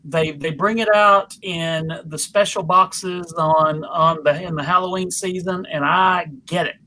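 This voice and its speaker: A man's voice: a little high, steady tone, unhurried, sharp tone, poor audio. A man hosting a casual panel discussion over a video call in a chatty, enthusiastic, informal way. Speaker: panel host and moderator.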